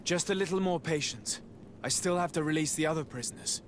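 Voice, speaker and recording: Man as a resolute, higher-voiced noble envoy. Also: animated, a little fast, noisy background, close to an everyday voice. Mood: reassuring, practical. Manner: composed, authoritative, formal.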